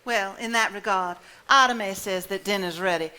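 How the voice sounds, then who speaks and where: A stage actress playing a genteel, practical Southern hostess who soothes the family, with a Southern US accent. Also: female voice, medium pitch, normal speed, sharp tone, clean tone, natural voice, poor audio. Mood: soothing. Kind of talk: live stage play acting. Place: theater stage before a live audience.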